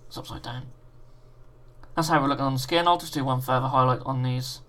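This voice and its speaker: A British man with a croaky voice hosting a livestream, chatting casually and reading out viewer messages while painting miniatures. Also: mid-range voice, fairly quick. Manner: relaxed, reads out chat messages. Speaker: livestream host.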